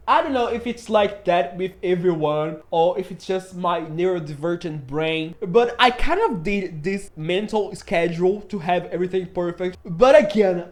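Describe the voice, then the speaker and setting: A man in his twenties talking to camera, telling a self-mocking, jokey story and acting out his own panic. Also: high voice. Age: 20s. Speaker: vlogger.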